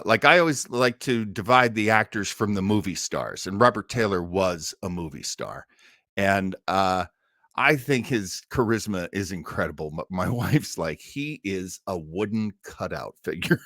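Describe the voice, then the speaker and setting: Guy hosting a casual livestream, really lively up and down voice, rambles and wanders off track, dry close sound. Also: fairly low pitch, no echo. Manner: rambling, easily sidetracked, halting. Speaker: livestream host of an old-time radio listening show.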